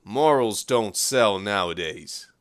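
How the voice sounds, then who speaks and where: A man's voice: on the low side, expressive, takes it slowly, clear, resonant tone. An actor playing a worldly, businesslike male newspaper editor in a period audio drama, offhand and dismissive.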